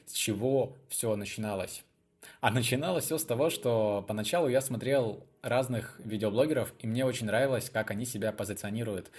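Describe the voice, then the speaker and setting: A man's voice: on the low side, a little mellow. A young man telling personal stories to viewers in a casual, unscripted way, chatty and rambling as he thinks aloud. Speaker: video blogger hosting his channel.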